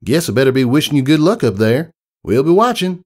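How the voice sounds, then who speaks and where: A man's voice: medium pitch, big pitch swings, fairly quick, darkish tone, voice pushed up. A man voicing a friendly, hardworking country mare who sells apples, talking in hearty, folksy rural slang.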